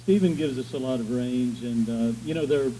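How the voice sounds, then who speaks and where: Easygoing musician with a mellow voice. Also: male voice, mid-range voice, normal speed, slight background noise, low-quality recording. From Virginia and Arkansas, USA. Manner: easygoing, hesitant. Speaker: musician guest.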